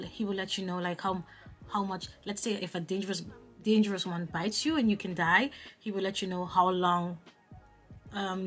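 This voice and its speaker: A young woman from the Dominican Republic, a travel vlogger chatting casually to the camera, expressive and full of restarts. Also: fairly low pitch.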